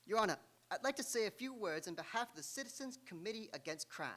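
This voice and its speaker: Man acting civic crusader, pompous oratory, earnest, high-pitched, lively intonation, radio comedy. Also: fast talker. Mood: earnest, grandiose. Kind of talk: live old-time radio comedy acting.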